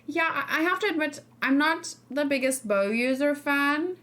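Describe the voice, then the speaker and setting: A woman with a slightly high voice chats as a livestream host. She thinks aloud in a loose, hesitant way, often rephrasing herself as she gives her reactions to viewers. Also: normal speed, a bit bright. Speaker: streamer host. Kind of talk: casual livestream reaction and discussion.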